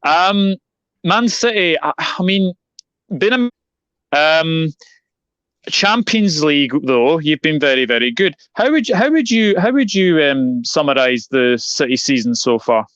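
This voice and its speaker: A man's voice: a little high, big pitch swings, measured pace, fairly bright tone, clear, resonant tone, no room sound. A man hosting a football preview interview, speaking politely and often repeating his phrases. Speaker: football channel host. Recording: slightly dull, lo-fi audio.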